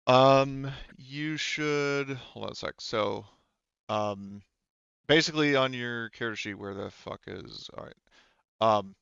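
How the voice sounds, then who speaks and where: A man with a smooth, fairly low voice works as a game master. He talks casually and haltingly, rambling through rules and narration. The sound is close and dry, a little dull. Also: fairly bright tone, no room sound. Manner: halting, rambling, informal with mild swearing. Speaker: game master of an online tabletop roleplaying game.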